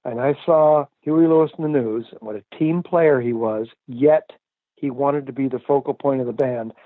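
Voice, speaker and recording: A man's voice: mid-range voice, moderate pace, dry recording. An older male musician answering interview questions in a reflective, chatty way with frequent laughs and fillers. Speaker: musician guest. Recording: tinny phone line.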